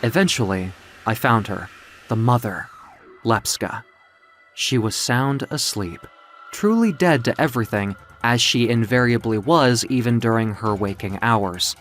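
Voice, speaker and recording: A man's voice: mid-range voice, unhurried, fairly bright tone, lightly acted. A man voicing a proud, capricious ancient magical being in florid, theatrical storybook narration. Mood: hushed, proud. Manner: florid, theatrical, self-satisfied. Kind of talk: dramatic first-person storybook narration. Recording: music behind the voice. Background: music.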